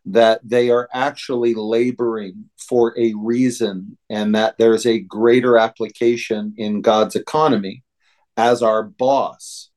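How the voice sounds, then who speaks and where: An American man co-hosting a religious podcast, teaching in a deliberate, halting way. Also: medium pitch, a little mellow.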